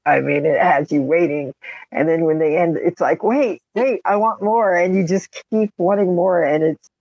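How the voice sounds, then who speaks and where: A woman's voice: on the low side, normal speed, no echo. A woman co-hosting a podcast in casual, emphatic chat, recorded on a slightly dull, dry microphone. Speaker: podcast co-host.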